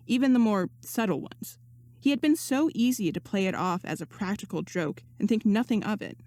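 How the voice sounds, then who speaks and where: A woman's voice: mid-range voice, a little mellow, no room sound. A woman reading a fan story aloud as scripted narration, close to the microphone. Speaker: podfic reader. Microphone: close XLR microphone.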